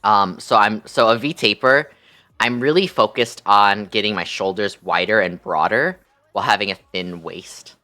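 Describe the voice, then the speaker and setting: A man's voice: fairly low pitch, moderate pace, pushed and bright, soft background music. A man chatting casually on a livestream in a sassy, campy, slangy way peppered with swearing. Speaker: live streamer.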